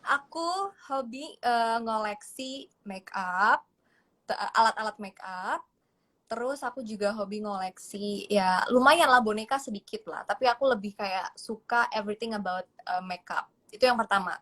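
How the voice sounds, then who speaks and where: A woman's voice: medium pitch, moderate pace, a bit bright. A young woman casually chatting and teasing as a guest in a truth-or-lie game. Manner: playful, teasing.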